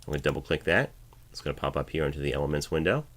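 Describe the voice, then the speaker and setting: A man's voice: extremely low pitch, lively intonation, racing, a bit bright. A man narrating an instructional screencast, explaining each step in a casual way as a voiceover artist giving a tutorial. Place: home voiceover studio, at the editing station.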